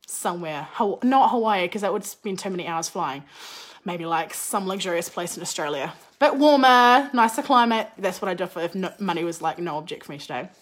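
A young woman, a business and mindset coach, talking in a chatty, animated way with a slightly croaky voice after a cold. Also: sits high. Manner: chatty, animated, says "like" often. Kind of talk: casual podcast monologue.

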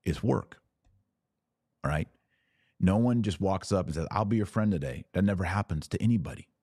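A man's voice: low-pitched, lively intonation, normal speed, mellow, breathy edge, no echo, clean studio sound. A man hosting a livestream podcast, giving informal, earnest advice and often posing questions that he answers himself. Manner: earnest, exhorting, poses questions and answers them. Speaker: podcast host answering live viewers.

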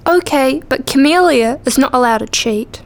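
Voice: high-pitched, normal speed. A female performer playing a fussy, stubborn young daughter in a radio play. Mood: bossy.

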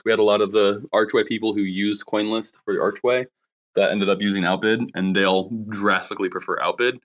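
Male host, lowish voice, upbeat and easygoing, laughs a lot. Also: fairly bright tone. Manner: upbeat, laughs easily, talks fast about business. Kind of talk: casual online group chat.

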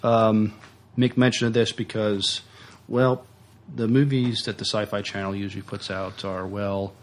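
Guy co-host, voice a bit low, kinda hesitant, casual news chat, stops and starts. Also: level tone. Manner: hesitant, halting delivery. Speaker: podcast co-host.

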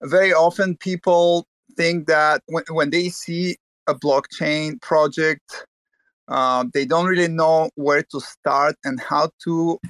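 A man's voice: on the high side, a bit bright, dry recording. A man from Bulgaria, earnest and full of restarts, talking in a casual online chat.

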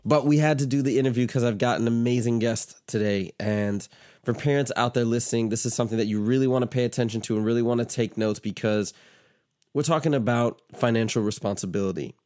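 A man's voice: medium pitch, fast talker, a little mellow. A man hosting an interview podcast, upbeat and chatty, with a stuffy, congested voice. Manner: upbeat, asks loose rambling questions. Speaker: podcast host. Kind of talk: casual interview chat.

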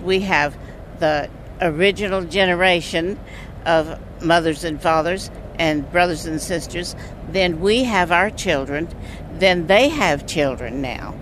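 A woman's voice: fairly low pitch, measured pace, rough recording. Older woman reminiscing over faint background noise. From southeastern United States. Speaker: interviewee sharing a memory. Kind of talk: casual reminiscence.

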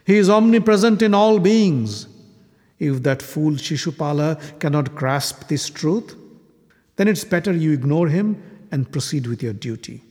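A man voicing a wise old warrior-patriarch who speaks in a grave, measured way. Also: fairly high pitch, lively intonation, warm tone. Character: calm, wise, aged male warrior-patriarch. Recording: long hall reverb.

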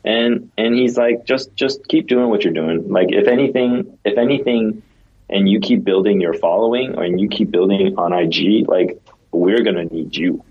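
A man with a very low, husky voice rambles casually through a story, full of "like" and restarts. His audio comes through dull and lo-fi. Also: moderate pace. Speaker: podcast co-host. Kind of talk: casual podcast chat.

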